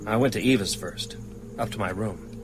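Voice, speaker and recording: A low-pitched, sombre man, an actor as a haunted novelist recounts horror in a radio drama. He is measured and weary, with music clearly behind him. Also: lightly acted. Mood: weary, reflective. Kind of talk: dramatic first-person narration.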